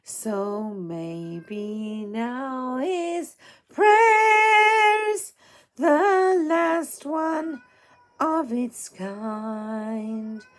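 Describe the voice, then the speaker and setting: Woman as a soft, wistful, hoarse orphan girl. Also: sits high, very slow, pitched above the performer's own voice. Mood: hopeful, singing. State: hoarse, tired. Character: hopeful, tender orphan girl in a storybook.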